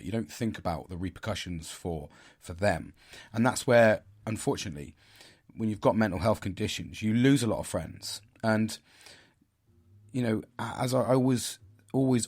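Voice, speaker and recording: Husky British man, hesitant and low. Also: darkish tone. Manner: hesitant, many fillers and restarts. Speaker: podcast host. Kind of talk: casual solo podcast talk.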